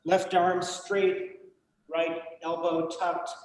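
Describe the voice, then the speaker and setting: An actor in a staged reading, playing a bereaved writer son who tells his family story in a measured, literary, wry way. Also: a little high, little pitch movement, poor audio. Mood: wry, nostalgic. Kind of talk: dramatic monologue in a staged reading.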